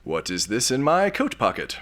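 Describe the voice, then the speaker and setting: An actor playing a vain, lecherous, cowardly space lord in a florid, grandiose manner for a comic sci-fi audio drama. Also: male voice, medium pitch, wildly swooping pitch, normal speed, fairly bright tone. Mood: vain, scheming.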